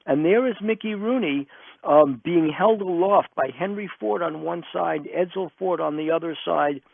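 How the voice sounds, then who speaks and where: A man's voice: a little high, normal speed, creaky, no room sound, narrow, tinny audio. A man, a guest author telling stories emphatically and repeating himself to drive points home in an interview. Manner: emphatic, builds points through repetition. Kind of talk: animated storytelling in an interview. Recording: band-limited radio interview sound, close and dry.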